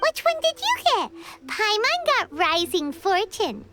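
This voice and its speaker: A woman's voice: very high-pitched, sing-song, measured pace, pushed and bright, full character voice. A woman voicing a tiny, cheeky, childlike fairy companion in an anime video game. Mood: upbeat. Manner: childlike, refers to self in third person. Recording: music behind the voice.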